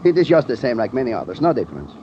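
An actor playing a suave, cold plantation director in an old radio drama, speaking stilted, halting English with a Spanish accent. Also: male voice, medium pitch, big pitch swings, close to an everyday voice. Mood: cold, firm. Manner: halting, stilted phrasing, as if translating. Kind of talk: 1940s-50s radio drama acting. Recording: muffled, noisy vintage radio recording.

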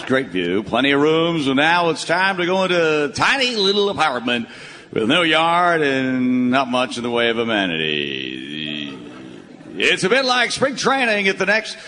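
An actor playing a cantankerous, theatrical old retired broadcaster, folksy and blustery, in a live radio comedy. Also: male voice, a little high, big pitch swings, normal speed, bright, forceful tone, soft background music. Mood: wry, folksy. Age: older. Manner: folksy, blustery, stammering when worked up. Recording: echoey live stage hall.

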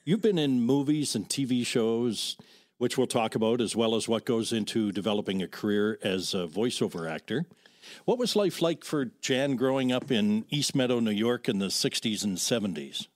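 Older man hosting an interview, medium pitch. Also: animated, normal speed. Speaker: podcast host, interviewer. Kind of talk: conversational interview.

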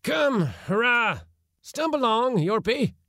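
A man's voice: mid-range voice, a little slow, creaky, sharp tone, dry recording, clean studio sound, pitched above the performer's own voice, full character voice. A man voicing an impatient, bossy elderly uncle in a storybook reading, with a blustering, pompous, exclamatory manner. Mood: impatient, roaring.

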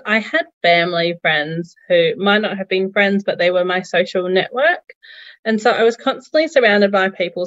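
A woman's voice: medium pitch, fairly bright tone, muffled recording. A woman from Singapore with an Australian accent, a psychologist speaking thoughtfully as an interview guest. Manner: thoughtful, measured, occasional laughs. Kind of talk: reflective interview talk.

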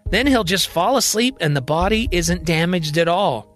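Man voicing a blunt, high-pitched questioning guy. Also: lively intonation, moderate pace, a bit bright, soft background music. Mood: eager. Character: puzzled, plain-spoken man questioning the plan.

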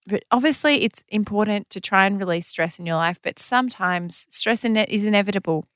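A young Australian woman chatting casually as a podcast co-host, animated and teasing. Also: mid-range voice, a little fast, smooth, no room sound, studio quality. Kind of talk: casual chat.

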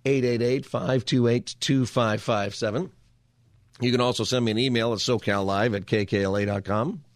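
A hoarse, raspy male radio talk host chatting casually from his studio, rambling with restarts. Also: mid-range voice, steady tone, normal speed, no echo. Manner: meandering, lots of fillers and restarts. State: hoarse from a cold. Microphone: studio mic.

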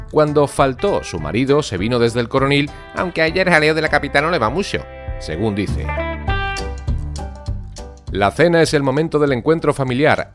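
Casting: male drama narrator, medium pitch, very varied intonation, scripted delivery over a guitar bed. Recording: prominent guitar music bed. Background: guitar music.

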